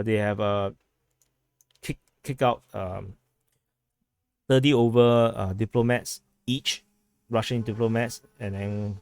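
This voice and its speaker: A hoarse man talks through a casual vlog update. His voice sits on the low side and sounds a bit worn. He rambles in a halting way, with many restarts and fillers, and the sound is dry and close. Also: a little mellow, no echo. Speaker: vlog host giving news updates. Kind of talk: casual spoken commentary.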